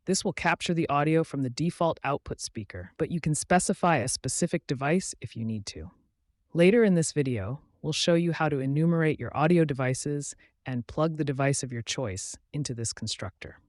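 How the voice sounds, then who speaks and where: A man narrating a scripted tutorial as its host, in a measured, instructional way. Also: a little high, no echo, clean studio sound.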